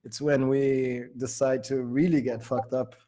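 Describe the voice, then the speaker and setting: A man's voice: medium pitch, level tone, a little slow, mellow. A man who grew up speaking Portuguese, chatting casually as he leads a group voice call. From Brazil. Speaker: participant leading an open-stage livestream. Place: remote voice call.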